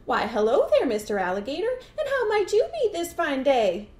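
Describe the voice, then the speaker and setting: A woman plays a cheeky female dog from a storybook. She is a bold, sly sweet-talker with a high voice that swoops about. The room sounds echoey. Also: wildly swooping pitch, moderate pace, a little mellow. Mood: sweet-talking, pleading. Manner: taunting, sweet-talking, barking.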